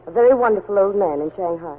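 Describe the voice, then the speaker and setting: A woman's voice: medium pitch, lively intonation, quick pace, close to an everyday voice. A woman playing a poised, cool, mysterious young lady in a 1940s radio drama, composed and coy. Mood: coy, confiding. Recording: scratchy narrow-band 1940s radio recording.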